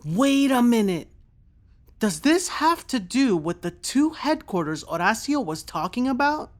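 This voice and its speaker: A woman's voice: fairly high pitch, very animated, a little slow. A woman playing an eager, trusting kid with an earnest manner in an audio drama. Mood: excited. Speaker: voice actor.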